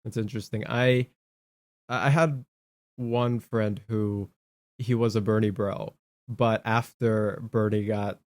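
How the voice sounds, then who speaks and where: Male podcast host, slightly low voice, blunt and dismissive, informal talk. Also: fairly even intonation, slow pace, no room sound. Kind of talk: casual political chat.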